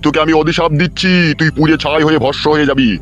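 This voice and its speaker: A man's voice: steady tone, very fast, close to an everyday voice. A man voicing a wrathful holy hermit in a comic cartoon, chanting mantras and scolding with old-fashioned curses. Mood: angry, cursing. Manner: booming mantra chanting, scolding, archaic insults. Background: music.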